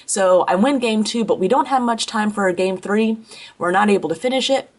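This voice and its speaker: A woman's voice: medium pitch, normal speed. A young woman with a head cold and a congested voice, chatting casually through a tournament recap, full of ums and self-corrections. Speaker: card game player recapping a tournament. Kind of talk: casual spoken tournament report.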